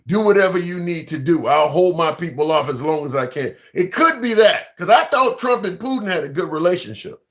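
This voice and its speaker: A man hosts a casual political call-in show on a livestream. His voice sits a bit high, and he talks straight to his viewers and callers. He rambles, leans on his points with emphasis and swears casually. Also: fairly bright tone.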